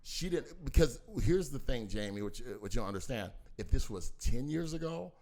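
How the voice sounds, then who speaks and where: A man's voice: medium pitch, expressive, normal speed, a little mellow. Older man arguing his side emphatically. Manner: emphatic, repeats himself, insists on finishing. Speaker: father in family mediation. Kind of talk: heated family discussion.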